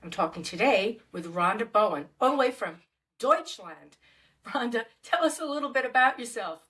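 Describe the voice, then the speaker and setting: A woman's voice: on the high side, expressive, moderate pace, fairly bright tone. A woman hosting a podcast interview in a casual way, who often stops and searches for her words, speaking over a video call. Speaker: podcast host.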